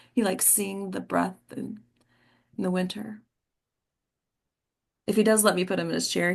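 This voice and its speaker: A woman playing an exhausted but devoted mother, halting and conversational, in an informal script read-through. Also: medium pitch, vocal fry. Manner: halting, conversational, searching for words.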